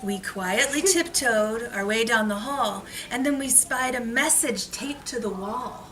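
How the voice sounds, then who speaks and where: A woman's voice: fairly high pitch, unhurried, fairly bright tone. Distant-miked woman storyteller in noisy room. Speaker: elementary school read-aloud storyteller. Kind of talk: storytelling to young children. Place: school room with children.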